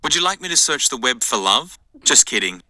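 A text-to-speech male phone voice assistant giving flat, curt, dry replies. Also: medium pitch, a little fast, dry recording.

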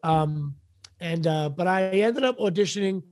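A middle-aged man with a raspy voice, chatting casually as an interview guest in a wry, self-deprecating, halting way. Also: high voice, darkish tone, no room sound, studio quality. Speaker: podcast guest, actor and comedian.

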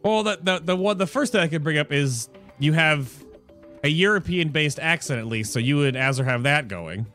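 Chatty, higher-voiced man hosting, music behind. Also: animated, moderate pace, fairly bright tone. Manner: chatty, self-interrupting, joking asides. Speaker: podcast and stream host. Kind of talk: casual gaming show hosting. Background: background music.

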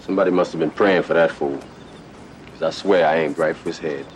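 A man's voice: very deep voice, fairly even intonation, fairly quick, a little hiss or hum. An actor playing a stern, weary father in a film drama set in a tough neighbourhood.

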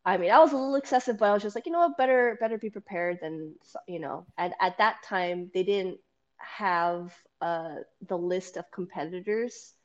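A woman jiu-jitsu coach talking casually in a podcast-style chat. Her voice is loud and carries, and she talks in an animated way, acting out quoted lines. The recording sounds a little dull and lo-fi. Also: medium pitch, normal speed. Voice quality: loud, carrying voice. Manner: animated, laughs often, acts out quoted dialogue.

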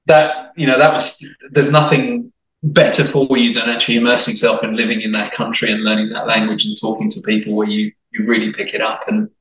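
A man's voice: medium pitch, flat delivery, a little fast, a bit bright, distant mic, muffled recording. Chatty man, echoey and off-mic. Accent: mixed, hard to place. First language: Italian. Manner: chatty, many restarts and repeated words. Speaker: guest. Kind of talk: casual interview chat.

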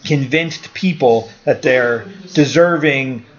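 A man's voice: mid-range voice, slow pace, muffled recording. A man in his forties talking in a casual podcast conversation, blunt and opinionated, swearing now and then. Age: 40s. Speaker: karate school owner, guest.

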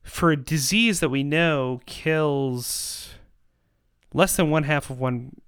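A man giving a persuasive monologue in an emphatic, rhetorical manner, with dramatic pauses and repetition. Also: on the high side, fairly bright tone, smooth, no room sound, studio quality. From Canada. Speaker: podcast host and pro-life activist.

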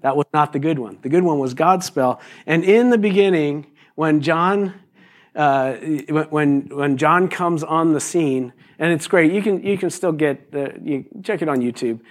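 Slightly raspy man preaching casually. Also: fairly high pitch, unhurried. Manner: conversational preaching with many fillers and restarts. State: raspy voice this morning. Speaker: pastor. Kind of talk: sermon.

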